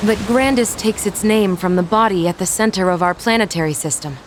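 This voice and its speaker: A woman voicing a calm female guide from another realm in a video game, explaining her world. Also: medium pitch, a little fast, background noise, close to an everyday voice. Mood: calm, explanatory.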